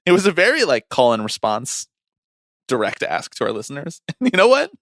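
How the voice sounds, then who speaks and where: A man co-hosts a podcast in an animated, chatty way, with pitch that moves around a lot. He keeps it casual and jokey, and the recording is dry with hardly any room sound. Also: a little fast, no room sound. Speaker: podcast co-host.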